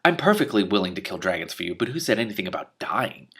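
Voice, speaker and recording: A man's voice: on the low side, big pitch swings, quick pace, a bit bright, husky, full character voice. A man playing a clumsy, polite, easily embarrassed knight with a fussy, apologetic manner. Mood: exasperated. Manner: apologetic, fussy, mildly exasperated.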